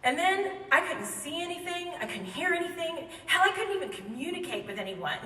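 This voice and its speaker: A woman telling a comic true story live on stage, wry and quick to laugh, recorded in a hall by a microphone set back from her. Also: extremely high pitch, very animated, sharp tone. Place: theater stage before an audience. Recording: echoey hall, mic from far off.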